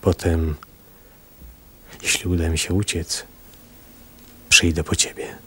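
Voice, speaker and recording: An actor playing a stern, weary fisherman on the run in a radio drama, speaking in terse, hushed commands. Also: low-pitched, little pitch movement, takes it slowly, slightly rough, heavily acted. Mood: resolute, commanding. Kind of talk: Polish radio drama acting. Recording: radio drama with music behind.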